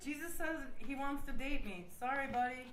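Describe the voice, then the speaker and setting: A woman's voice: high-pitched, normal speed, fairly bright tone, reverberant, a little hiss or hum. A woman playing a gushing, lovestruck and deluded best friend with an eager, sugary manner. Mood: smug.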